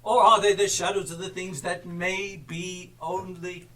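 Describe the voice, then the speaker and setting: A repentant old miser , played by a man, pleads in a high, frightened voice. The delivery is slow and halting, with words repeated in dread. It is theatrical stage acting, caught at a distance from the microphone. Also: level tone, pushed and bright, slight background noise. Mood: solemn dread. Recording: distant live stage recording.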